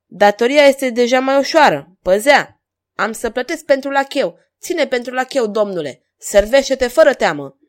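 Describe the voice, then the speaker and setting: A woman's voice: on the high side, expressive, pitched above the performer's own voice, close to an everyday voice. A woman voicing a bold young swordsman in an audiobook, taunting and theatrical with a biting edge. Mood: mocking, triumphant. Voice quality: biting, harsh ringing voice. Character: bold mocking young swordsman, fearless homeless rogue.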